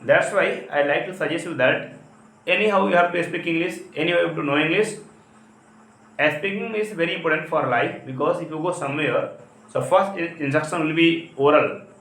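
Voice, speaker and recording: A man's voice: fairly high pitch, fairly bright tone. Indian man teaching, echoey room. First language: Hindi. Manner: repetitive, halting phrasing in non-native English. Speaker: English teacher. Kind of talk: spoken lesson, explanatory talk. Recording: echoey, roomy sound.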